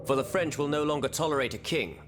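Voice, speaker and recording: An actor playing a self-assured, ambitious revolutionary politician with a formal, declarative manner in a video game cutscene. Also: male voice, on the high side, fast, natural voice. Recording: music behind the voice.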